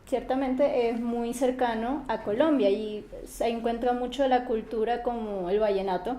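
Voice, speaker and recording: A young woman with a Venezuelan accent from Maracaibo speaks in a calm, measured way. Her voice sits a little high and sounds mellow. The room around her is echoey. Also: a little hiss or hum. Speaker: interviewee. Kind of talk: interview.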